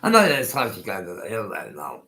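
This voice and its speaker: A man's voice: lowish voice, huge pitch swings, a little fast, gravelly, bright, forceful tone. A man in his sixties with no teeth, so his speech is unclear at times, chatting in a rambling way as host of his own live stream. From Colorado, USA. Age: 60s. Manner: rambling, repeats himself, restarts often. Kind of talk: casual live-stream chat.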